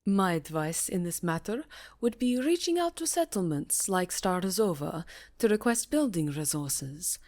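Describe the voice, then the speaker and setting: A haughty vampire countess, acted by a woman, speaks low and unhurried in an ASMR roleplay. Her diction is poised and formal, teasing yet commanding, like one used to wealth and power. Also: sing-song, a little mellow, no echo. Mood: formal, composed.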